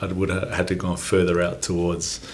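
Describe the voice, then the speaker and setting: An Australian man in his forties, a podcast guest, talking in a relaxed, rambling way with plenty of ums. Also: low voice, moderate pace, a little hiss or hum. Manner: laid-back, rambling, lots of ums and mates. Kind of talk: casual interview chat.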